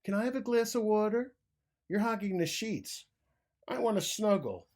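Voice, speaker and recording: A man voicing small animal guests pestering in high, whiny voices in a storybook reading. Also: expressive, moderate pace, darkish tone.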